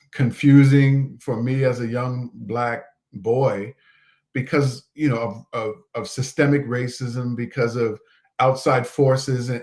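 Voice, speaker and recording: A man's voice: mid-range voice, a little mellow. A man in his forties from Nova Scotia, a coach speaking earnestly as a podcast guest. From Nova Scotia, Canada. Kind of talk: motivational interview talk.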